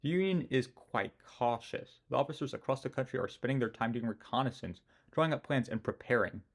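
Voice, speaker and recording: A man's voice: medium pitch, animated, a little fast. A man narrating a scripted weekly history series, giving his own opinions and joking about his pronunciation. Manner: opinionated, with self-mocking asides.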